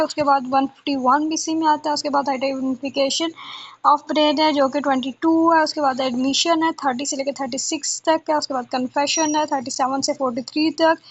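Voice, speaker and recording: A woman's voice: high-pitched, muffled recording, slight background noise. A woman tutor from Pakistan giving an informal exam-prep lesson, reading through a list repetitively and asking herself questions as she goes. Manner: repetitive, asks herself questions before answering.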